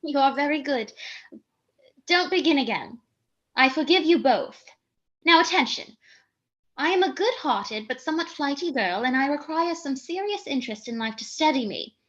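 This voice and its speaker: Haughty flighty young lady, really high voice, theatrical, kinda muffled lo-fi recording. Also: expressive, normal speed, fairly bright tone. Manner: haughty, arch, declamatory. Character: flighty, self-important young lady, brazen and grandiose. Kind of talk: theatrical radio comedy monologue and dialogue.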